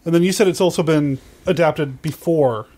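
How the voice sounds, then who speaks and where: Higher-pitched man hosting a casual podcast. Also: normal speed, warm tone. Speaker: podcast host. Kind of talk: casual conversational interviewing.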